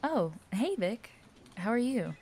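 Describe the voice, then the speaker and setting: A woman's voice: a little high, sing-song, slow pace, mellow, heavily acted. A woman playing a friendly, chatty, curious office worker in an audio roleplay. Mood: cheerful. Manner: chatty, supportive.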